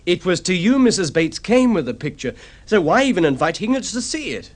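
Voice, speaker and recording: An actor playing a naive young son who protests and questions earnestly, in an old radio drama style. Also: male voice, high-pitched, sing-song, a little fast. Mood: exasperated. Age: young adult. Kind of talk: 1950s radio drama acting.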